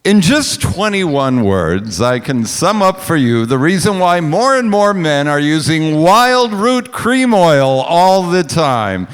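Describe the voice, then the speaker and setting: A man delivering a scripted commercial as a 1940s radio announcer, with emphatic, measured salesmanship. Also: a little high, big pitch swings, smooth. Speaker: radio announcer reading the sponsor spot. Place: on stage in a hall. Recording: reverberant hall with music.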